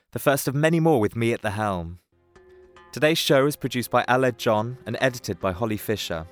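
A man hosting a show and reading a scripted sign-off in a measured delivery. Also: mid-range voice. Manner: measured, read-aloud delivery. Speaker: show host. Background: faint music.

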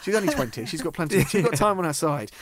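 A man's voice: fairly high pitch, very animated, fast. A man hosting a radio panel discussion in a studio, with a dry, wry, teasing manner. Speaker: radio programme host.

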